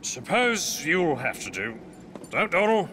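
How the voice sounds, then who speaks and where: An actor playing a swaggering, roguish pirate captain with a theatrical, mock-grand way of speaking. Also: male voice, fairly high pitch, big pitch swings, measured pace, pushed and bright, slight background noise, heavily acted. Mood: sly.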